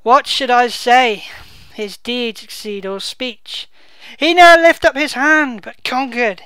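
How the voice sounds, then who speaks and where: A woman reading the part of a grieving noble duke at a king's funeral, declaiming verse slowly and loudly in her own voice. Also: on the high side, clear, resonant tone, no echo, voice pushed up. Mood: praising. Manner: slow, loud, declaiming verse.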